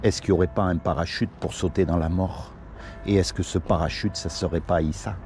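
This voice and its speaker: A man's voice: low voice, fairly even intonation, a little fast, darkish tone, a little hiss or hum. A man from Morocco doing casual one-on-one street preaching, earnest and pleading, full of everyday examples. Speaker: street evangelist. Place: outdoors on a city street.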